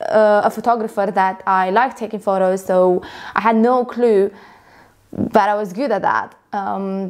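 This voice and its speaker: A woman's voice: medium pitch, darkish tone. Chatty young woman from Romania. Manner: rambling, self-correcting, many fillers. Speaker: photographer vlogger. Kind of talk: casual talk to camera.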